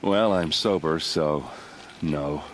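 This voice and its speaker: An actor playing a gruff, self-deprecating soldier in a video game, blunt and wry, with a weary manner. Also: male voice, a bass voice, slow pace, slight background noise. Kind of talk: dramatic video game acting.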